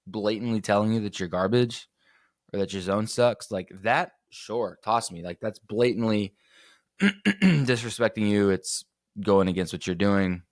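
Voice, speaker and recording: A man with a slightly hoarse voice hosting a casual sports podcast, rambling and correcting himself as he goes. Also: on the low side, a little slow, no room sound, clean studio sound. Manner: rambling, self-correcting, chatty. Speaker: podcast host.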